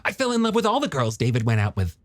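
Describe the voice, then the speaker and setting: A man's voice: mid-range voice, huge pitch swings, fast talker, dry recording, voice pushed up. A man voicing an enthusiastic, friendly young male scientist in an audiobook, chatty and given to stammering. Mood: teasing, friendly. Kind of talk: audiobook character dialogue.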